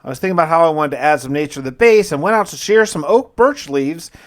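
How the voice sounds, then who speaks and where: A man's voice: a little high, lively intonation, fast talker. A man hosting a gaming video, narrating his play casually in the first person with wry, conversational musing.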